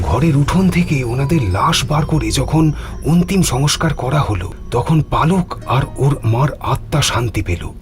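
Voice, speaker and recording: A man narrating a scripted horror story in a dramatic, suspenseful manner. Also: medium pitch. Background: music.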